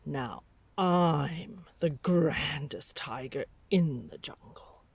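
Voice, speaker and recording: Woman putting on a slow, menacing storybook tiger. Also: sits low, expressive, gravelly, breathy edge, no room sound, exaggerated voice. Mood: boastful. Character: menacing, boastful tiger who threatens to eat a child.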